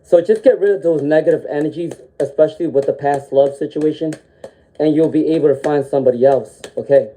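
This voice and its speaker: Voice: mid-range voice, fairly quick, poor audio. A tarot reader doing a live-stream reading while fighting a cold, with frequent "um"s and a habit of tagging "okay?" onto sentences. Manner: ends sentences with a checking "okay?"; frequent "um" fillers, gentle reassuring delivery. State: has a cold, sniffling.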